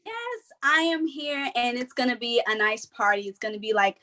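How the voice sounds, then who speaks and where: A woman's voice: a little high, normal speed, sharp tone. A twenty-year-old woman chatting casually as a panelist on a group call.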